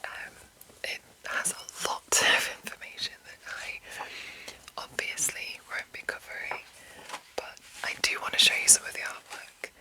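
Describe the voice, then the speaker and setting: A woman whispering softly and unhurriedly in an ASMR style, explaining things in a loose, rambling way with drawn-out pauses. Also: poor audio. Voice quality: breathy unvoiced whisper. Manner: slow, hushed, drawn-out pauses. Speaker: ASMR host introducing a roleplaying game.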